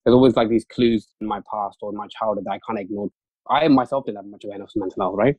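Fast-talking young man, Urdu native. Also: lowish voice, darkish tone, no room sound, poor audio. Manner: fast, chatty, lots of fillers and restarts. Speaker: interview guest, junior doctor. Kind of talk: casual interview talk.

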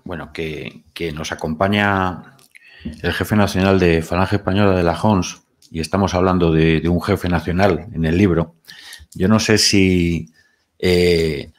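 A man's voice: low voice, steady tone, unhurried. A man moderating a book presentation in a library hall, giving short approving remarks and passing the floor to others. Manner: brief, approving interjections.

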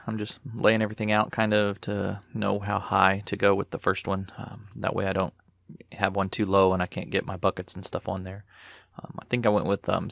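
A man's voice: low-pitched, vocal fry, fairly bright tone, no room sound, clean studio sound. A middle-aged man narrating a woodworking build in a matter-of-fact voice-over, with frequent ums. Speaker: DIY vlogger.

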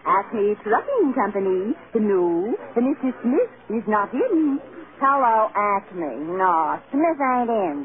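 An actress playing an eager, starry-eyed young aspiring starlet in an old-time radio drama. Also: female voice, medium pitch, very animated, takes it slowly. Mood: nervous, eager. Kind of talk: 1930s radio drama acting. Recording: muffled old radio recording with music.

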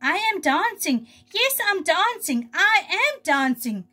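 A woman reading a storybook as a sensitive young male giraffe, earnest and expressive. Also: very high voice, slow pace, voice pushed up, full character voice. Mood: overjoyed. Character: shy, sensitive male young giraffe who longs to dance.

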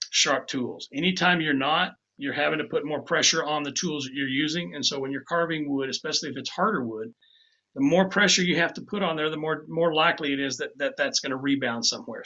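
An older man talks casually through a demonstration over a video call, loose and unhurried, often repeating himself. His voice is bright. The sound comes through a muffled, lo-fi webcam mic in a home office. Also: medium pitch. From Tennessee. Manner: easygoing, repeats words as he goes. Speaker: woodcarving instructor. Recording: dull lo-fi video call audio.

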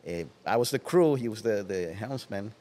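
Relaxed older man with a Puerto Rican Spanish accent. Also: normal speed, in a large hall. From Puerto Rico. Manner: relaxed, reclining, trailing off into soft low asides. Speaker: guest. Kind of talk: casual interview. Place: large watersports shop, indoors. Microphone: headset.

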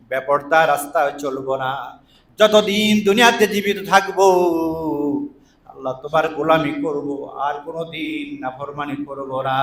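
A man preaching a story sermon, swinging between dramatic drawn-out calls and pleading phrases. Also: a little high, sharp tone. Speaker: Islamic preacher. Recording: echoing PA-style sound.

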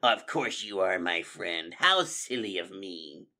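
Rat warlord villain, played by a male reader: bullying, scheming, threatening, animated storybook delivery. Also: low-pitched, slow pace, heavily acted. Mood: sly, mock-friendly. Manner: commanding, threatening.

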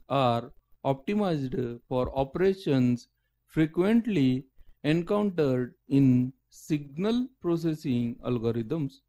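A man narrating a scripted technical lecture as the lecturer, with halting, deliberate phrasing. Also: a little high, dark tone, rough recording.

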